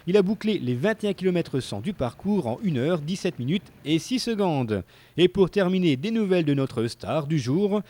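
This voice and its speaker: A man's voice: a little high, animated, normal speed, darkish tone. A man narrating a scripted local TV report in a playful, tongue-in-cheek manner.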